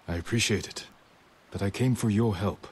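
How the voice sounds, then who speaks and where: An actor playing a grave, commanding samurai lord in a dramatic video game. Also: male voice, fairly low pitch, moderate pace, slightly rough. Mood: grave, resolute. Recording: game audio with background ambience.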